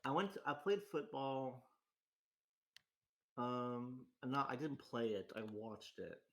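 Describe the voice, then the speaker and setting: A young man in his twenties hosts his own casual talk, rambling and self-deprecating. He keeps circling back and restarting his sentences with lots of fillers, and his voice sits at an ordinary middle pitch for a man. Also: slow pace, fairly bright tone. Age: 20s. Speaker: host. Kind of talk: casual solo chat. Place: home in a rural area.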